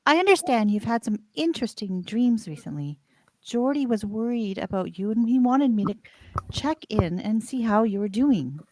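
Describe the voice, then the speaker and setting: Woman playing a high-pitched, reassuring counselor. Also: expressive, normal speed, close to an everyday voice, low-quality recording. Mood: caring, reassuring. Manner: gentle, encouraging. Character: reassuring female ship's counselor. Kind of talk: amateur radio play live read.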